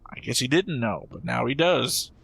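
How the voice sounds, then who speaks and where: A man's voice: medium pitch, animated, normal speed, gravelly, fairly bright tone, breathy edge. A man hosting a reaction show, talking casually and argumentatively with frequent swearing. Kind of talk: casual discussion, reacting to an animated series.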